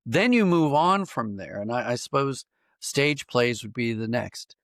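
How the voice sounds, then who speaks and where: A middle-aged or older American man explaining ideas in a conversational interview, thoughtful and halting, often restarting his phrases. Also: mid-range voice, expressive, vocal fry, fairly bright tone, no echo, studio quality. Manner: thoughtful, halting with repeated false starts. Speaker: podcast guest, writer and dramatist.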